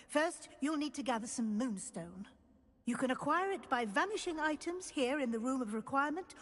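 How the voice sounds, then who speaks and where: An actress playing a composed, encouraging middle-aged professor of magic in a video game, speaking in a measured, formal, instructive way. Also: female voice, high voice, slightly rough. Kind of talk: scripted video game dialogue. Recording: long hall-like reverb.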